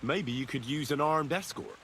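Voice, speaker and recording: An actor playing a young drifter looking for work, pushy and sarcastic. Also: male voice, fairly high pitch, normal speed, fairly bright tone, slight background noise, natural voice.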